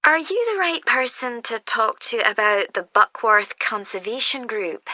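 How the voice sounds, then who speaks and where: Bright-voiced, keen British newcomer. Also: female voice, on the high side, very animated, unhurried, dry recording, clean studio sound, heavily acted. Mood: keen, polite. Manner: polite, eager, inquiring. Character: keen, friendly female newcomer asking to volunteer. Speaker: actor in a listening test dialogue. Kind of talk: scripted everyday conversation, clearly enunciated.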